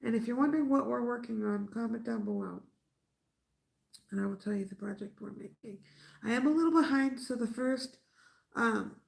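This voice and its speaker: Stuffy woman with a creaky voice. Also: fairly high pitch, darkish tone, low-quality recording. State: stuffy nose from a mild cold. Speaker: crochet channel host. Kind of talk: casual late-night livestream chat.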